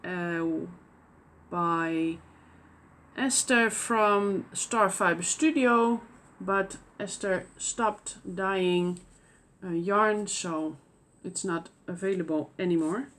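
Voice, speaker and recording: A woman from the Netherlands with a Dutch accent, hosting a knitting podcast in casual show-and-tell chat and pausing often with fillers and restarts. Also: on the low side, a bit bright. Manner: hesitant, many fillers and restarts.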